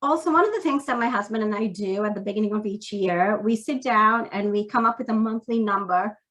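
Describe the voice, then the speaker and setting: A woman in her forties from Sri Lanka talks through a video call at an even middle pitch. She speaks candidly and earnestly, with frequent "um"s. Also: a little fast. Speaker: panelist. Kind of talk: workshop talk to students.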